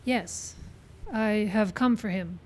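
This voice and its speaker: A woman's voice: medium pitch, slow, close to an everyday voice. An actress playing a proud, solemn middle-aged woman in a radio western, speaking in formal, simple sentences. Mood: solemn, dignified. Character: proud, solemn middle-aged Pawnee daughter of old man. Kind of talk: old-time radio western acting. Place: live stage show in a park.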